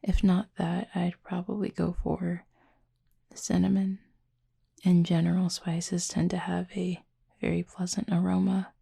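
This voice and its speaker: A woman playing a thoughtful, soft-spoken cupid roommate in an intimate audio roleplay, with a breathy, husky voice and measured, gently formal delivery. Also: lowish voice, level tone, dry recording, full character voice. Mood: thoughtful, tender.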